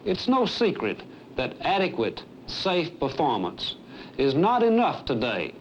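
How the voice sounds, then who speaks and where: An American man in his fifties giving a formal, scripted address as an airline executive, emphatic, earnest and deliberate. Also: on the high side, huge pitch swings. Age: 50s. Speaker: airline executive presenting a staff training film. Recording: dull, noisy 1970s film soundtrack.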